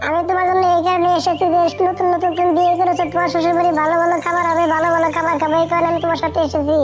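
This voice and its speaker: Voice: extremely high pitch, completely flat delivery, very fast, over music. A performer voicing a young, earnest, chatty talking bird in a cartoon fable. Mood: friendly.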